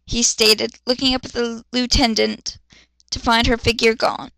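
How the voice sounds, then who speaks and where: A woman's voice: fairly high pitch, level tone, unhurried, vocal fry, pushed and bright, no echo, rough recording. A woman reading fan fiction dramatically and commenting on it in a blunt, exasperated, sarcastic manner. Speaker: reader and commentator.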